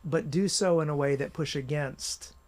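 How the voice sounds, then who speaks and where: Guest author, man, slightly high voice, chatty and animated. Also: normal speed. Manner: animated storyteller, many restarts and "you know". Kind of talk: casual conversational interview.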